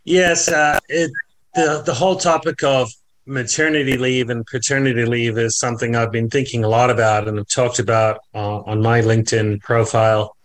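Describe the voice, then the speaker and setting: Man from New Zealand, podcast guest, friendly, fillers and repeats, mid-pitched voice. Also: flat delivery, moderate pace, poor audio. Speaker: company founder, podcast guest. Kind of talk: podcast interview.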